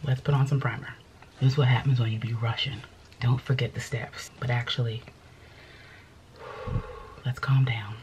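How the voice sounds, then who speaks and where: A woman's voice: a bass voice, fairly even intonation, normal speed, long pauses, darkish tone, a little hiss or hum. A middle-aged woman vlogger chatting casually and fussing as she gets ready, filmed at close range in a home studio. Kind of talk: casual vlog chat. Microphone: camera at close range.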